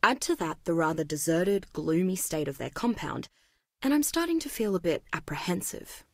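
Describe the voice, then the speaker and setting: A woman's voice: lowish voice, big pitch swings, moderate pace, no room sound, studio quality. An actress playing an inquisitive, analytical woman biologist in a video game, reading journal entries in an articulate, dryly wry way. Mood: uneasy, wry. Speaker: voice actor.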